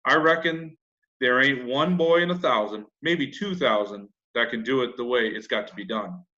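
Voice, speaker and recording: A man's voice: mid-range voice, expressive, moderate pace, sharp tone. Children's storybook role: crafty country lad, read by a man, folksy, feigned indifference, slightly dull audio. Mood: reluctant, sly. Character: crafty, nonchalant young country boy. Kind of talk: children's classic storybook reading.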